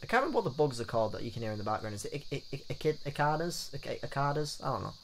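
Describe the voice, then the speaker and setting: A man's voice: mid-range voice, very animated, very fast, fairly bright tone, a little hiss or hum. A man hosting a let's play, reading a visual novel aloud in a playful, theatrical way with jokey asides. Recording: game music behind the voice. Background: game music.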